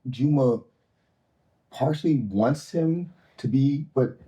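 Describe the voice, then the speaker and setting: A male actor talking casually after a reading, thoughtful and halting with many restarts. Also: medium pitch, warm tone, low-quality recording. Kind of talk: casual discussion.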